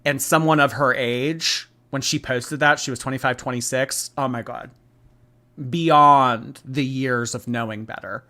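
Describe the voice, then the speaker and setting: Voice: mid-range voice, steady tone, a little slow, bright, forceful tone. A commentator giving casual, emphatic reactions, blunt and given to repeating phrases for effect. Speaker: host reacting to clips.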